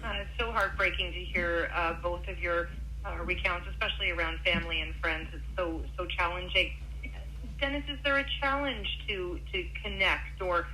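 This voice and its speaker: A woman radio host with a lowish, bright, clear voice. She reads the news and leads an interview in an earnest, sympathetic way. A faint hum sits under her. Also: moderate pace, poor audio.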